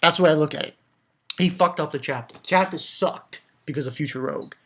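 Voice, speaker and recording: Casual vlogger voice for a manga rant: medium pitch, blunt, foul-mouthed, mocking, animated. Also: brisk, slight background noise.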